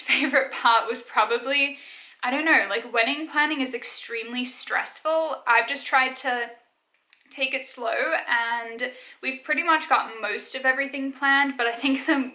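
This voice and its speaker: A young woman in her twenties talks through viewer questions in a relaxed, chatty way, her accent a blend of South African, Australian and American. Her voice is bright and sits a little high. The sound rings around an echoey room. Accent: mixed South African, Australian and American. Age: 20s. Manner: chatty, many "like" fillers, frequent light laughs. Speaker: vlogger. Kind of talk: casual Q&A vlog.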